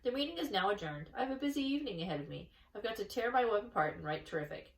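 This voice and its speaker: A woman voicing a brisk, clever female spider who takes charge in a storybook reading. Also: mid-range voice, lively intonation, close to an everyday voice. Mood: kindly. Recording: echoey room, distant mic.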